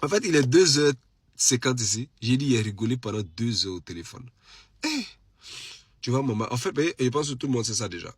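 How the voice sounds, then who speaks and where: A man's voice: medium pitch, sing-song, fairly quick, slightly rough, no room sound, studio quality. A man with an Ivorian French accent and a head cold, chatting casually and animatedly to followers on a live stream, repeating phrases for emphasis. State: has a cold. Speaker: social media live streamer. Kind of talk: casual late-night live chat to followers.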